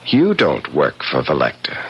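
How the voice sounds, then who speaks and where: Animated, brash young man, radio drama. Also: rumbling low, a little slow, dull audio, exaggerated voice. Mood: defiant, suspicious. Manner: insistent, brash, argumentative. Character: headstrong, ambitious young man, stubborn and defiant. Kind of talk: 1970s radio drama acting.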